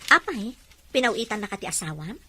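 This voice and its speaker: A woman's voice: medium pitch, sing-song, brisk, sharp tone, slight background noise. An actress voicing a commanding woman who interrogates her maid in a probing tone. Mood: interrogating. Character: sharp-tongued mistress questioning a maid.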